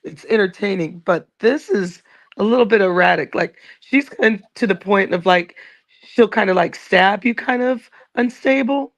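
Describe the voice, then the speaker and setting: A woman's voice: mid-range voice, moderate pace. A woman calling into a casual chat, talking hesitantly with many fillers. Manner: hesitant, filler-heavy talk.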